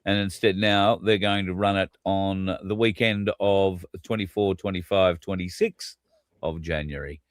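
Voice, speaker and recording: A low-pitched Australian man co-hosts a casual livestream, speaking bluntly and swearing now and then. He reads out viewer comments. His voice sounds close and dry, with no room around it. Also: steady tone, measured pace, dry recording. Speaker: co-host. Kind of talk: casual chat.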